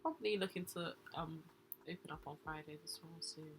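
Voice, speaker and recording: A woman's voice: sits low, a little fast, a little hiss or hum. A woman, a podcast guest chatting in a laid-back, slangy way. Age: 20s. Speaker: guest, home cook. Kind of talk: casual chat.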